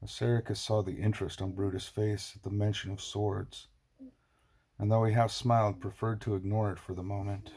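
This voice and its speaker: A man reading a novel aloud as a scripted narration, in a husky, measured, dramatic storytelling voice. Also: fairly low pitch, little pitch movement, darkish tone. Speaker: audiobook reader.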